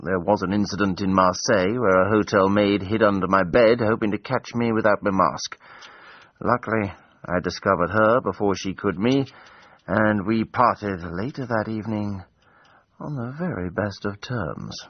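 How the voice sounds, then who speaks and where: An actor playing a breezy, self-regarding English gentleman adventurer in a period radio drama, urbane and wry, with formal old-fashioned phrasing. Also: on the low side, moderate pace, dry recording. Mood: wry, composed. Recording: dull, band-limited broadcast audio.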